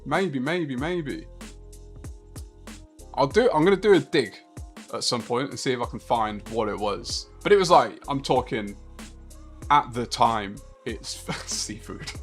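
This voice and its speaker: A man, talking casually over a racing game as he streams. His speech wanders with fillers and easy laughter, pitched at an ordinary male middle. Soft music plays quietly behind him. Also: expressive, a little slow, long pauses. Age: 30s. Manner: chatty and rambling, laughs often, talks to chat. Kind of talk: casual live-stream chat.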